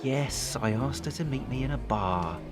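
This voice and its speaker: A man's voice: mid-range voice, animated, normal speed, music in the background, natural voice. A young man playing an anxious, worn-down boyfriend in an audio drama, hesitant, stammering and pleading. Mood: nervous. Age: young adult.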